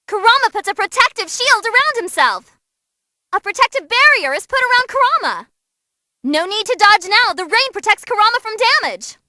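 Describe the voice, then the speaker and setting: A woman voicing an excitable, cheeky young female tournament announcer in a video game, with theatrical, exclamatory commentary. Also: very high-pitched, dry recording, clean studio sound, heavily acted.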